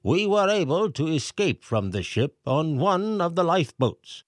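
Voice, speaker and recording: A man's voice: fairly high pitch, sing-song, unhurried, dry recording, clean studio sound, pitched above the performer's own voice. A man voicing a flustered male town mayor in a storybook, reading a warning letter aloud in a raised, urgent voice. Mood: alarmed.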